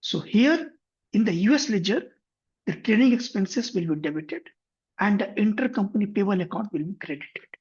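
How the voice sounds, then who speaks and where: A man teaching a software tutorial, walking through an example methodically and often correcting himself mid-sentence. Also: high voice, lively intonation, moderate pace, breathy edge. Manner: methodical, step-by-step, frequent self-corrections. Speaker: software instructor. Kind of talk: screen-share tutorial explanation. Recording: dull lo-fi recording.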